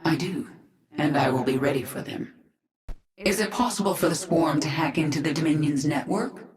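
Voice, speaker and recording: A woman's voice: deep voice, normal speed, echoey room, lightly acted. An actress playing a hardened, commanding and brooding alien queen in a video game. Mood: firm, measured. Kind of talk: video game cinematic acting.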